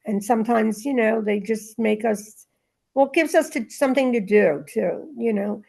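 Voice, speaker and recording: A woman's voice: on the high side, normal speed, creaky, a little mellow, breathy edge. A woman talking casually and reading aloud in a spiritual discussion group, in a rambling, emphatic way that circles back on itself.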